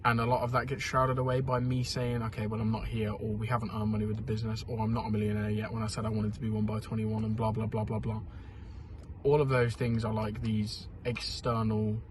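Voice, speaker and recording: A man's voice: lowish voice, completely flat delivery, a little fast, slight background noise. A 21-year-old man, talking candidly and rambling in a casual vlog diary while ill with a sore throat and sniffles. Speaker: vlogger.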